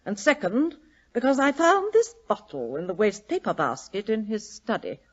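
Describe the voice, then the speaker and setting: An actress playing a brisk, forthright, imperious married lady in an old radio drama, blunt and commanding. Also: mid-range voice, wildly swooping pitch, slightly rough. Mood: insistent. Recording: dull lo-fi old radio recording.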